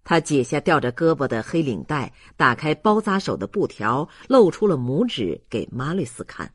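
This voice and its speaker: A man's voice: a little high, sing-song, moderate pace, slightly rough, no room sound, clean studio sound. A man narrating a literary audiobook in a dramatic storytelling style.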